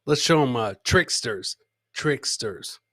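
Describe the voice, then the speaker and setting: A man's voice: mid-range voice, expressive, takes it slowly, heavy background noise. A middle-aged man hosting a comedy livestream, bantering casually and chummily with slangy, repeated phrases into a close mic. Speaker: comedian and live podcast host. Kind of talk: casual livestream banter reacting to clips and viewer comments. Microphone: close podcast mic on a boom, with headset.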